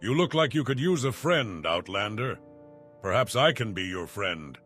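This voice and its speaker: A man plays a sly, crooked guard from a fantasy game. The synthetic voice is fairly low and forceful, with faint music underneath. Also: normal speed. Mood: sly, conspiratorial. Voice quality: synthetic AI-generated voice. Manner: rather flat delivery. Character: shady male fantasy guard, sly shakedown bully. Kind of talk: fantasy role-playing game dialogue.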